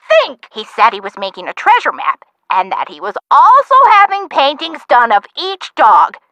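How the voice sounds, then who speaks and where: Feisty, animated old gal. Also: female voice, a little high, no echo. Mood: musing, animated. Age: older. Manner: theatrical, folksy old-time slang. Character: feisty old woman, a gangster's chatty former sweetheart. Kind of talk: video game character dialogue.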